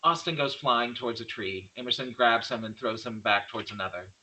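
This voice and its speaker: A man's voice: medium pitch, brisk, pushed and bright, low-quality recording. A man narrating stage directions from a script at a table read, reading plainly and occasionally stumbling over words.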